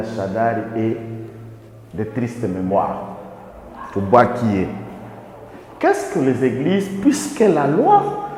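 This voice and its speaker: A man's voice: medium pitch, darkish tone. A man with a Congolese accent giving impassioned political commentary as a guest, emphatic, with rhetorical questions and repeated lists. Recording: echoey reverberant room, faint background noise.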